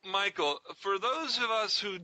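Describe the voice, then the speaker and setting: Very bright, sharp male radio host. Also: high-pitched, a little slow. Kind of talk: conversational radio interview.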